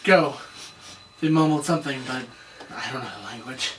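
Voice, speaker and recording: Talking cat, dry and world-weary, sharp tone, read by a man at a table read. Distant pickup. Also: mid-range voice, moderate pace, natural voice. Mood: grave, dry. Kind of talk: amateur script table read. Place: room, read aloud at a table. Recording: distant room mic, faint noise.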